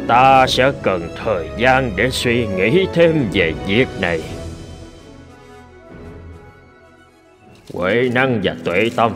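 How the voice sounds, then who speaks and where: An actor playing a calm, wise old abbot in a cartoon folk tale, speaking in a measured, teacherly manner. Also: male voice, medium pitch. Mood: thoughtful. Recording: music under the voice.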